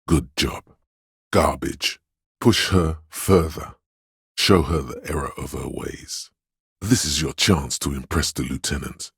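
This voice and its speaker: An actor playing a stern, proud inner voice of authority in a video game, narrating in a grave, measured, commanding manner. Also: male voice, extremely low pitch, gravelly, fairly bright tone, husky, no room sound, clean studio sound, exaggerated voice. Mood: stern appraisal. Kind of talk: dramatic game narration in second person.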